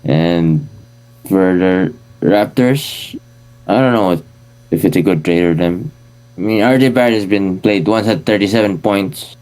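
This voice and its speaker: Calm young man, smooth lowish voice. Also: unhurried, poor audio. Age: 20s. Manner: calm, hesitant, repeats words. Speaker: podcast co-host. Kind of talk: casual sports podcast chat.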